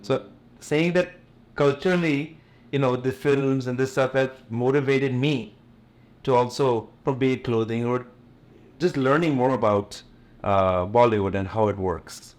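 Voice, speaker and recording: A man's voice: mid-range voice, a little slow. A middle-aged man raised in the USA, a Gujarati native speaker and event promoter, chatting in an interview and switching between English and Hindi with easy fillers. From USA, born in India. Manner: relaxed, mixes English with Hindi, frequent fillers and light laughs. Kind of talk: casual interview conversation.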